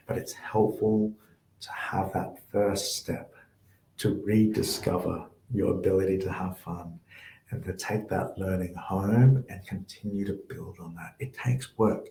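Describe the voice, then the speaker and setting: Soft-spoken man, low mellow voice. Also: echoey room, a little hiss or hum, rough recording. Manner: gentle, reflective, with fillers. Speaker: workshop host. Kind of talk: online workshop Q&A.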